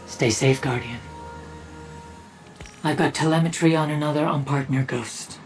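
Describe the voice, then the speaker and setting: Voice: medium pitch, a little slow, music in the background, natural voice. A performer playing a friendly, focused quest-giver in a video game, briefing an ally in an earnest, conversational way. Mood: businesslike.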